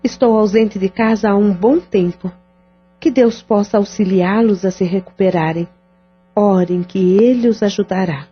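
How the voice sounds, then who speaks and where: Brief: a woman voicing a soft, pious, comforting friend, slightly husky, for an audio drama with dull lo-fi sound and faint music. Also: medium pitch, moderate pace, natural voice. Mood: consoling. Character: kind religious woman friend, middle-aged, gentle.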